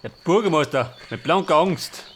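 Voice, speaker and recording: A man with a Bavarian accent giving loud, joking commentary while playing a game. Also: a little high, expressive, moderate pace, a little hiss or hum. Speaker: let's play host.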